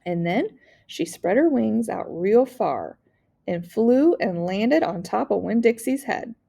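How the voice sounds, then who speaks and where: Woman teacher reading a kids novel out loud, relaxed mellow voice w some vocal fry. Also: medium pitch, slow pace. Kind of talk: children's novel read-aloud narration.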